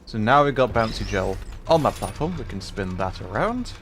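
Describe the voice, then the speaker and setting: A man's voice: on the low side, big pitch swings, moderate pace, fairly bright tone. A man livestreaming a game, explaining puzzles casually and hesitantly, piece by piece as he thinks aloud. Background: faint noise and game ambience.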